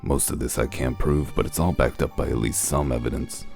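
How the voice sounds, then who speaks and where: A man playing a gruff, world-weary detective in a comic dub, with a gravelly voice and a methodical, matter-of-fact way of explaining. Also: rumbling low, fairly even intonation, fairly quick, a little mellow, soft background music. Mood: matter-of-fact, shrewd.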